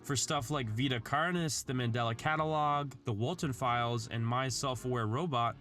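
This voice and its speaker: A man's voice: medium pitch, normal speed, fairly bright tone. A man reading an earnest, articulate scripted narration in the style of a video essay. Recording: background music under the voice. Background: music.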